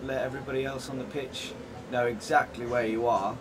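A young man rambles casually through interview answers, padding them with filler phrases. He sits a little way from the mic, outdoors, with clear background noise. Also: medium pitch, flat delivery, fairly quick. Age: 20s. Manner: many "you know"s, rambling. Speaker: blind football player being interviewed. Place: outdoors in front of bushes. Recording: slightly distant, noisy outdoor recording.

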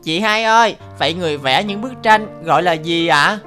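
A woman as a bright-voiced, curious little boy. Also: fairly low pitch, a little fast, natural voice. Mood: curious, eager. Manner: inquisitive, childlike. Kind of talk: children's cartoon dubbing. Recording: faint music behind the voice.

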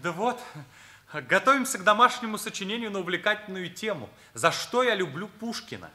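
A sarcastic teenage schoolboy in a Soviet radio drama. He is cocky and mocking, with a high, piercingly bright and lively voice. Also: brisk, roomy sound, full character voice. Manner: mocking, mock-courtly. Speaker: stage actor. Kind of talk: Soviet radio play acting.

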